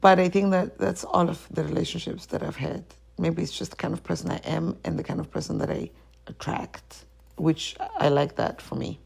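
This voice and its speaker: A woman's voice: on the low side, steady tone, moderate pace, gravelly, a little mellow, slightly rough. A woman hosting her own podcast, talking alone in a rambling, self-questioning way and sometimes trailing off into a whisper. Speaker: podcast host. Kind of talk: solo confessional chat.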